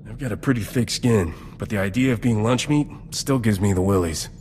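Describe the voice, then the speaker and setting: Male actor as smuggler captain, lowish voice, dry, wry, supportive, game dialogue, faint hum behind. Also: brisk, darkish tone, close to an everyday voice. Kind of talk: video game cutscene dialogue.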